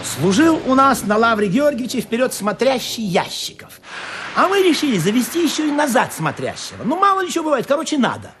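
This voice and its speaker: A man narrating a comic radio play in an expressive, playfully dramatic storytelling manner. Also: very high voice, fairly quick, background noise.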